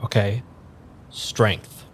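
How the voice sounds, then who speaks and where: A man's voice: drawn-out words, noisy background. A man in an improvised audio drama playing a frantic, foul-mouthed amnesiac who stammers and mutters as he works through tarot cards. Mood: overwhelmed. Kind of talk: improvised audio drama, halting naturalistic delivery.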